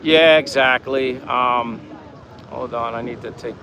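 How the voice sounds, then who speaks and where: A man's voice: medium pitch, fairly even intonation, takes it slowly. A man chatting casually and meandering through an informal livestream, reading out viewer comments. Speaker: livestream vlogger. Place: outdoors in the Philippines, in daytime. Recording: noisy outdoor ambience with wind, vehicles. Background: wind, vehicle and outdoor ambience noise.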